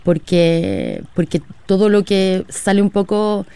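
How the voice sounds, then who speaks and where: A young Chilean woman talks casually and informally as a guest, with plenty of fillers. Her voice is smooth and clear, and the sound is dry and close. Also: medium pitch, big pitch swings, slow, mellow, dry recording. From southern Chile. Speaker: guest, writer. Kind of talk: casual conversation. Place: outdoor garden.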